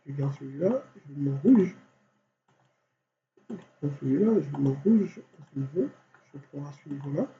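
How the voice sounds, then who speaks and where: A man with a head cold walks through a software tutorial, talking himself through each click. His fairly high voice has a gravelly edge. The recording is dull and lo-fi, with a faint hum underneath. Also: animated, normal speed, pauses often. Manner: thinks aloud step by step, constant "voilà". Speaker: software instructor.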